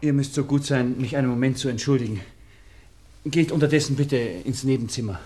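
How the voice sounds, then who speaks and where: An actor playing a well-bred, tense young gentleman lover with a Viennese accent in a radio play. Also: medium pitch, brisk, close to an everyday voice. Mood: nervous. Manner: polite, tense. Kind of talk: German-language radio play acting.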